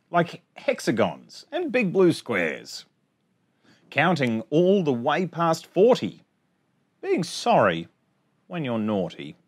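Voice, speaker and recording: A man in his forties hosting storytime, reading a picture book aloud to children in an expressive sing-song way with chatty asides. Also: fairly high pitch, husky. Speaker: storytime host. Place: small indoor space among bookshelves.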